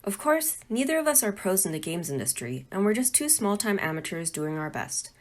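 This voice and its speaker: A woman's voice: medium pitch, big pitch swings, brisk. A woman presenting a scripted, pre-recorded instructional talk as an amateur developer.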